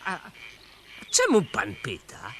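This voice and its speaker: A puffed-up huffy boss in an old radio play, high swooping voice. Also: male voice, wildly swooping pitch, slow pace, fairly bright tone, exaggerated voice. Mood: haughty. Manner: self-important, indignant. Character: pompous, touchy self-appointed male expedition leader. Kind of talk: 1970s Polish radio drama acting.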